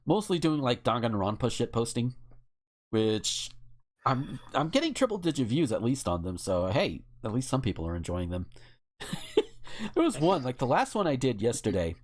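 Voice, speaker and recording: A man's voice: medium pitch, big pitch swings, moderate pace. Rambling man chatting casually. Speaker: podcast co-host. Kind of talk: casual chat.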